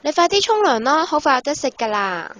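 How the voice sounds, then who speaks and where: A woman's voice: high-pitched, sing-song, a little fast. A woman playing a cheerful, caring teenage girl in a radio drama, speaking breezy Hong Kong Cantonese. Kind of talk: Hobby radio drama acting. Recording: prominent music under the dialogue.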